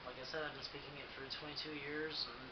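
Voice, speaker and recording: A man's voice: mid-range voice, fast talker. A man in his twenties talking casually about his life, with many fillers. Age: 20s. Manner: relaxed, many fillers and "definitely". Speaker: interviewee. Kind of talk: casual personal account. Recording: hissy, dull, roomy home recording.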